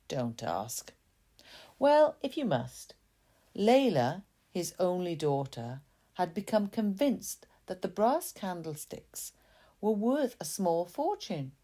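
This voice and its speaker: Female storyteller, low and mellow, gently confiding narration with chatty asides. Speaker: author reading own story.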